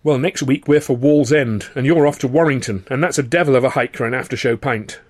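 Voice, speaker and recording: A man's voice: medium pitch, a little fast, voice pushed up, lightly acted. A man voicing a jovial, hearty young music-hall drinking pal in a story, in a jolly, exclamatory way. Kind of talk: audiobook character voice.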